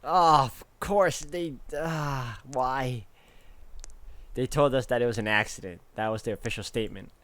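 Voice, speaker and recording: A man's voice: medium pitch, a little slow, a bit bright. A man playing a visual novel, reading its text aloud expressively with casual commentary. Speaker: video game let's player.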